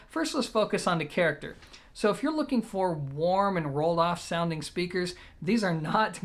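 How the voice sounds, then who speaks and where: A man giving a conversational, methodical product review, explaining things to viewers point by point as an audio gear reviewer. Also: high-pitched.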